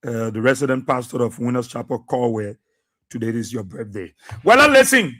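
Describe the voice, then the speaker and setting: A man's voice: lively intonation, fairly quick. A man with a Liberian English accent hosting a radio talk show. He repeats himself and exhorts listeners, with many fillers. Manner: low and subdued for birthday greetings, then loud and preachy.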